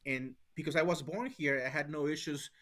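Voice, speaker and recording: A man's voice: on the high side, fairly even intonation, a little fast, a bit bright. A middle-aged man from Mexico with a Mexican Spanish accent, a guest in an interview, speaking earnestly and often repeating words as he restarts. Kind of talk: conversational interview.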